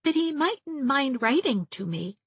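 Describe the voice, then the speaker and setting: Woman voicing a plaintive, high-pitched little girl. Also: big pitch swings, unhurried, dry recording, phone-quality sound, full character voice. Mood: wistful. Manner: plaintive, confiding. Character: small, lonely, frightened, earnest little girl.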